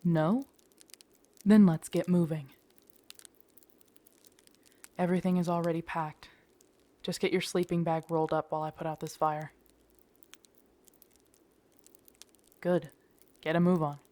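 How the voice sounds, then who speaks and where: A woman's voice: fairly low pitch, fairly even intonation, moderate pace, pauses often, mellow, natural voice. A woman acting in an audio roleplay as a grumpy, protective zombie apocalypse survivor, blunt and sardonic, talking intimately to her companion. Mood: curt, impatient.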